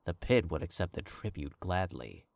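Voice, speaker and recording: A man narrating a fan fiction as an audiobook, in a measured, wry storytelling manner. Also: low-pitched, animated, creaky, a little mellow, dry recording.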